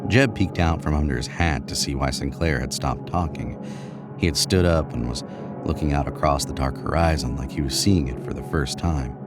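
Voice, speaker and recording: A man's voice: a bass voice, moderate pace, over music. A man narrating a scripted horror story.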